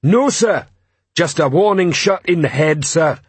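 A man voicing a huge, slow-witted, blunt and dutiful troll police sergeant in an audiobook, with laboured, ungrammatical speech. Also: fairly high pitch, lively intonation, slightly rough, no echo, voice pushed up, heavily acted. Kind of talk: audiobook character voice.

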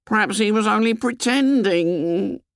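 A man voicing an anxious, defensive boy in a story reading, with a shrill, pleading, excitable voice and ungrammatical working-class speech. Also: a little slow, vocal fry, smooth, no echo, clean studio sound, full character voice. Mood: defensive, stubborn.

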